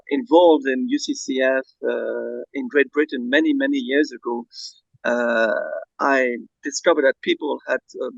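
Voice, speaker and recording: A Belgian-born man whose first language is Dutch, a guest speaking softly and hesitantly with many fillers over a video call. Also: medium pitch, creaky, no echo, dull audio.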